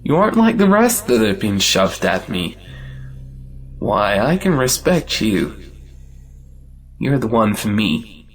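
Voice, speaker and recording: An actor playing an impetuous, possessive young gentleman suitor in an audio play, theatrical and emphatic. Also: lowish voice, very animated, takes it slowly, long pauses. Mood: earnest. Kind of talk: audio play acting of a 1910s stage comedy.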